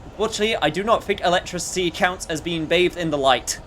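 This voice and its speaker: A man's voice: sits high, fast, fairly bright tone. A man doing casual let's-play commentary, playfully reacting and muttering to himself, with some swearing. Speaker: game streamer. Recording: faint background noise.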